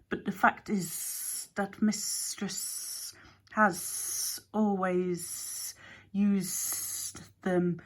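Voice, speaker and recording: Woman doing a slow, acid-tongued creature. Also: medium pitch. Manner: slow, drawling, hushed and muttering.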